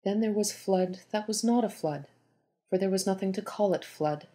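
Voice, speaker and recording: A woman playing a poised, pragmatic Egyptian goddess queen and oracle in an audio drama, with measured, formal delivery. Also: medium pitch, dark tone, close to an everyday voice. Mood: solemn, mystical. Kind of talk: dramatised audio story reading.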